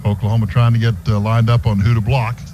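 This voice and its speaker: A man's voice: on the low side, little pitch movement, fast, warm tone. An American man doing live play-by-play commentary of a football game for radio. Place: stadium radio booth. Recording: noisy stadium radio broadcast recording. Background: clear background noise.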